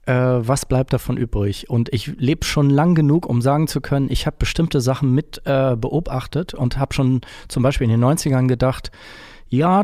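A man's voice: mid-range voice, a little mellow, no room sound, clean studio sound. A middle-aged man talking reflectively as an interview guest, explaining his opinions and often searching for the right word. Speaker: interview guest, musician and composer.

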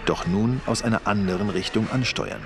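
A man's voice: fairly low pitch, normal speed, music in the background, natural voice. A man playing the solemn narrator of a sci-fi audio drama, reading scripted dramatic narration. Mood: solemn, suspenseful. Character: solemn male narrator of a space adventure.

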